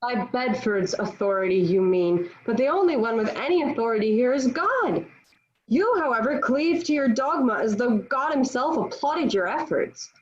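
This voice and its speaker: Woman playing proud sharp-tongued young heroine, high-pitched, declamatory, defiant, dramatic table read, muffled recording. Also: animated, normal speed, natural voice. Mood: defiant, dry. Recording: dull, lo-fi video call audio.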